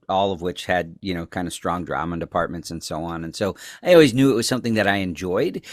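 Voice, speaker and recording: A man's voice: lowish voice, fairly bright tone, no room sound, clean studio sound. A man chatting casually as an interview guest, rambling along in a self-effacing way.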